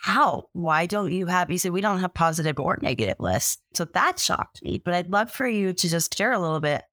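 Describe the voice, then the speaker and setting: A woman's voice: lowish voice, brisk, no room sound. A woman hosting a podcast interview, telling her story with animation and acting out what other people said. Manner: animated storyteller, acts out quotes. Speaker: podcast host.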